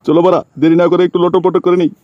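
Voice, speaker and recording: A man's voice: sits high, flat delivery, rapid-fire, dark tone, dry recording, lo-fi sound, lightly acted. A man voicing a sleazy, wheedling, flirting village man in a comic cartoon. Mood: lecherous. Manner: lewd, wheedling.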